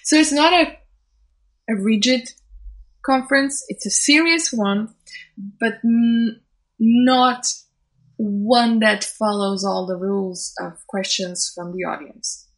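Woman, non-native English, Portuguese first language, searching for words, a bit higher pitched. Interviewee. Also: fairly even intonation, rough recording. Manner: thoughtful, halting, restarts phrases while searching for words. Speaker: event organizer and social scientist. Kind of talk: interview conversation.

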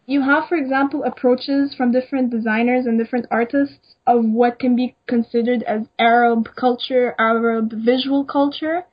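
A woman's voice: a little high, steady tone, moderate pace, few pauses, husky. A young woman, an emerging designer from Egypt, answering interview questions in a hesitant way with many fillers. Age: young adult. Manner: hesitant, many ums and likes. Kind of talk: casual interview. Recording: dull lo-fi sound.

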